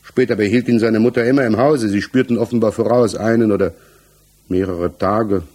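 A man's voice: on the low side, fairly even intonation, a little mellow, slightly rough. An actor playing a defensive, hesitant married village tradesman under questioning in a 1960s German radio play, searching for his words. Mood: reflective, uneasy. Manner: halting, searching for words, evasive.

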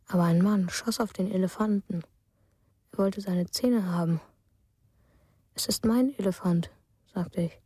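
Dark, husky, dreamy young storyteller. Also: female voice, lowish voice, no echo. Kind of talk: storytelling in a radio drama.

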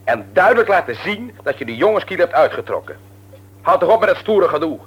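An actor playing a stern, patronising man in authority in a radio drama, lecturing emphatically in a husky voice. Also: on the high side, big pitch swings, a little fast. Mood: scolding. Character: stern, patronising older brother and works supervisor, adult man.

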